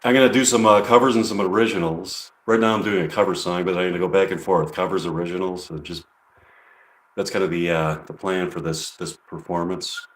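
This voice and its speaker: A man from Chicago chatting hesitantly on a live stream between performing his songs, pausing to look things up. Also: deep voice, steady tone. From Chicago, USA. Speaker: singer-songwriter performing live. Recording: echoey room with guitar behind. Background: guitar.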